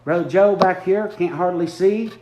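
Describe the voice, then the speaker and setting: Fervent preacher, high-pitched man, drawn-out lines, roomy sanctuary. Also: background noise. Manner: fervent, repeats lines for emphasis, drawn-out phrases. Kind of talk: impromptu sermon. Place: church sanctuary. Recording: echoey church room.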